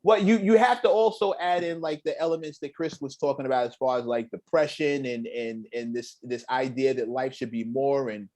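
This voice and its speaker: A middle-aged man hosting a casual talk show, speaking emphatically and getting animated as he recites lyrics. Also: medium pitch, normal speed, few pauses. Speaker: podcast host. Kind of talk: casual chat.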